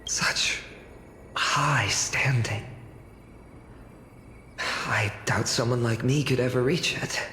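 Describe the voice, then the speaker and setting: An actress playing an earnest, humble young village sword trainee in a video game. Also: female voice, rumbling low, slow, long pauses, a bit bright, slight background noise, full character voice. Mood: awed, hesitant. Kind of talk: scripted game voice acting. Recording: echoing hall reverb, faint music.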